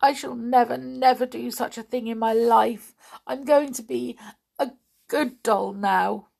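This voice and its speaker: A woman's voice: a little high, fairly even intonation, unhurried, creaky, a little mellow, voice pushed up, exaggerated voice. A woman voicing a naughty girl doll who speaks earnestly and pleadingly. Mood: ashamed.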